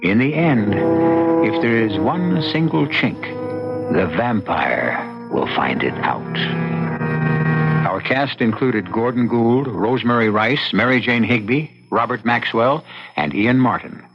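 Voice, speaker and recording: A man hosting an old-time radio mystery, narrating ominously with deliberate, theatrical pauses. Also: mid-range voice, big pitch swings. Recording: muffled old radio recording. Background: loud music.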